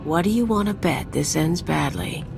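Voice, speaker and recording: A woman's voice: low-pitched, lively intonation, normal speed, creaky, heavily acted. An actress playing a wry, sardonic female companion in a video game. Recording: music behind the voice.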